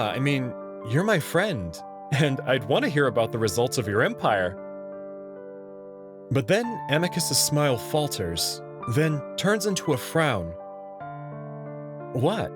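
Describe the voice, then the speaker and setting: A man reading a visual novel aloud in the first person, in an expressive, nervous way. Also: mid-range voice. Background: background music.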